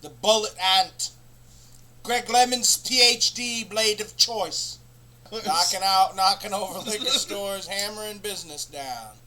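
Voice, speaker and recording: A man hosting a comedy show, rambling through an absurd, profane monologue in a deadpan way. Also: sits high, measured pace. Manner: rambling, profane, deadpan nonsense with sudden bursts of laughter.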